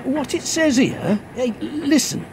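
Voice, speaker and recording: A man's voice: very high-pitched, sing-song, takes it slowly, creaky, noisy background, heavily acted. A man playing an opinionated, scornful football fan, reminiscing in a radio play.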